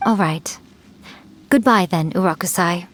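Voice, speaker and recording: A woman voicing a playful, smugly self-assured centuries-old yokai in a video game, coy and teasing. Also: lowish voice. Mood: fond, upbeat. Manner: coy, teasing, drawn-out flourishes.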